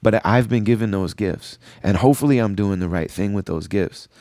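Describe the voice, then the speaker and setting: Middle-aged man, very low voice, dry close sound, earnest, repeats phrases, solo podcast reflection. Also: normal speed, dark tone, dry recording. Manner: earnest, repeats phrases, frequent "you know what I'm saying". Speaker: podcast host.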